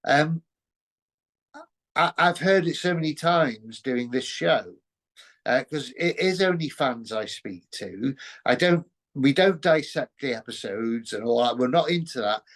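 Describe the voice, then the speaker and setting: A man hosting a casual interview, speaking in halting, hesitant phrases. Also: medium pitch, animated, a bit bright. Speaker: interview show host.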